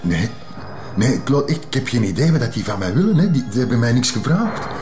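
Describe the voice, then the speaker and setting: A man's voice: medium pitch, big pitch swings, a little fast, reverberant, music in the background, close to an everyday voice. Actor as a nervous, stammering Flemish man. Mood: panicky. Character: frightened, stammering man pleading ignorance. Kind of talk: drama acting.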